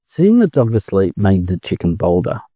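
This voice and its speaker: A man with an Australian accent reading a children's story aloud in an expressive narrating style. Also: sits low, normal speed, slightly rough, no room sound. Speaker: storybook reader.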